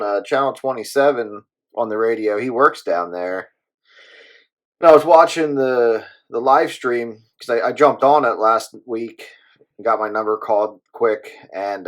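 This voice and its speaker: An American man chatting casually in a low-key, hesitant way as he explains things. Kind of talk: casual CB radio chat.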